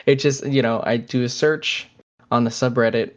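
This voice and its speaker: A man's voice: medium pitch, fairly even intonation, moderate pace, highs cut off. A man co-hosting a podcast in casual conversation, talking in a hesitant, conversational way. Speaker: podcast co-host.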